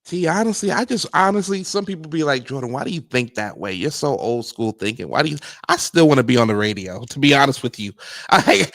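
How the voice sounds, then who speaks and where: A man co-hosting a casual livestream, relaxed and repetitive, hyping up his co-host. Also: medium pitch, dry recording. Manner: relaxed, repeats himself, warm hype-man talk.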